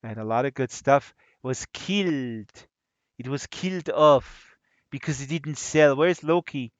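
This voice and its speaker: A man's voice: medium pitch, unhurried, dry recording. A man with a German accent hosting a casual live stream, joking and teasing his guests as he chats. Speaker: host of a virtual-world tour stream. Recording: dull, lo-fi stream audio.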